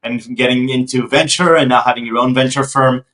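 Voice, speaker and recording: A man hosting a conversational interview, halting as he forms questions and often repeating words. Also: mid-range voice, steady tone, a bit bright, low-quality recording. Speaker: podcast host and interviewer.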